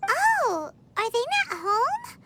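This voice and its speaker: An actress voicing a tiny, childlike, chirpy and curious companion creature in a video game. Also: female voice, extremely high pitch, wildly swooping pitch, slow pace, bright, forceful tone, a little hiss or hum, full character voice. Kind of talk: English video game voice acting.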